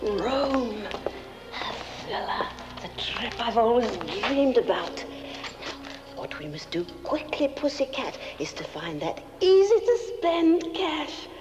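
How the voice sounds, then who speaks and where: High, swooping, gleefully wicked schemer. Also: female voice, very animated, takes it slowly, soft background music. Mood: smug, dreamy. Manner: theatrical, coy cooing, shifts between hushed and shrill. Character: gleeful, scheming, murderous woman, sweetly mocking and greedy. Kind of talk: campy horror-comedy film acting.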